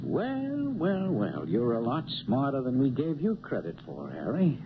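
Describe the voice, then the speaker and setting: An actor playing a slick, menacing male crook in a 1970s radio drama, sardonic and excitable, swinging between mock surprise and threat. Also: medium pitch, measured pace. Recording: thin phone-like old radio, very loud car noise.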